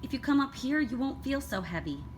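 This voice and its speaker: A lonely, hopeful queen of the night sky, acted out by a woman reading a children's storybook. The moon calls out eagerly and encouragingly, pitched up high. There is clear noise in the recording. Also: sing-song, brisk. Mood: eager calling. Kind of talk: children's storybook read-aloud. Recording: clearly noisy background.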